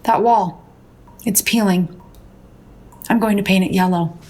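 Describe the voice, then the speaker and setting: A woman's voice: medium pitch, a little slow, a little mellow, lightly acted. An actress playing a disillusioned, cryptic woman painter, dry, detached and philosophical, in an audio drama. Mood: weary, resigned. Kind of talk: naturalistic audio drama dialogue.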